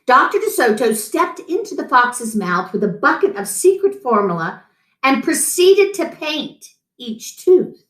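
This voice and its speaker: Expressive middle-aged woman reading a picture book. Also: high-pitched, measured pace. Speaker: story time host. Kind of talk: animated children's picture-book read-aloud. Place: small room at home, indoors. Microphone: camera mic a few feet away. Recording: roomy echo.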